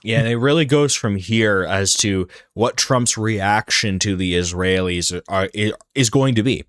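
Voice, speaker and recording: A man's voice: lowish voice, measured pace, fairly bright tone, dry recording, clean studio sound. A man hosting a reaction show, talking in a slangy, incredulous way. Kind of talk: casual reaction commentary.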